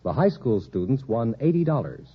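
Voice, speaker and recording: A man's voice: mid-range voice, very animated, normal speed. A man working as a radio show announcer in a mid-century broadcast style. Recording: muffled old radio recording.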